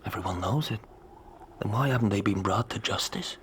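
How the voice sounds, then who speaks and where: A man's voice: lowish voice, brisk, fairly bright tone, slight background noise, natural voice. An actor playing a bold, hot-headed young Irishman in a radio drama, with an Irish accent and a brash, defiant manner.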